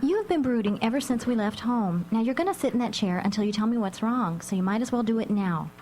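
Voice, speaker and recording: An actress playing an exasperated, insistent mother in a live radio drama, coaxing and gently scolding. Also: a little high, expressive, fast, natural voice. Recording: live radio recording with light hum.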